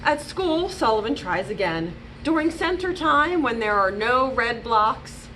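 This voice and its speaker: Animated, high-pitched woman reading to children. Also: slight background noise, poor audio. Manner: deliberate, expressive, emphasizing words for young children. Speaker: children's librarian, storytime reader. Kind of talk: read-aloud children's storytelling.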